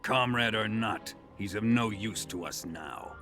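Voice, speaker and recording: An actor playing a ruthless, suspicious military colonel in a video game, with a commanding, menacing and contemptuous delivery. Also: male voice, fairly low pitch, a little slow. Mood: cold. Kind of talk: dramatic video game cutscene acting. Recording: loud music behind the voice.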